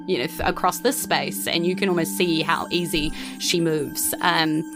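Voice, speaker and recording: A woman, narrating a tabletop game descriptively as game master. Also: lowish voice. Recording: clear music bed behind the voice. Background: background music.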